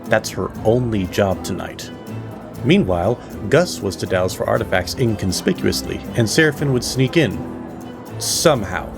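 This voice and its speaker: A man narrating an audio drama as storyteller, with measured, theatrical pauses. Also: lowish voice, animated, gravelly, over music.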